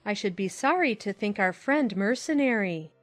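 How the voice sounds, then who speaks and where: A woman voicing a sensible, concerned middle-aged aunt in a dramatized classic novel, gently admonishing in measured, formal phrases. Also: mid-range voice, expressive, soft background music, close to an everyday voice.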